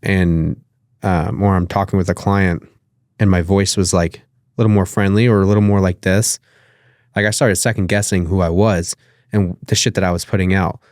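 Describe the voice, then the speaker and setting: A man's voice: low-pitched, flat delivery, moderate pace, darkish tone, no room sound, studio quality. A man with a nasal voice, talking loosely as a podcast guest, with lots of fillers and casual swearing. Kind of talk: casual interview conversation.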